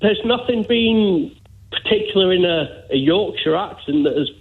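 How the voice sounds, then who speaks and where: A man from Scotland calling a phone-in over a narrow phone line, arguing in a halting, insistent, repetitive way. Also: sits high, lively intonation. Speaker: phone-in caller. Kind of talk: argumentative phone call.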